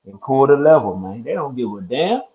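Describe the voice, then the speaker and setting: A man's voice: medium pitch, big pitch swings, moderate pace. A man on a panel, speaking heatedly and emphatically. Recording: echoey, dull mic.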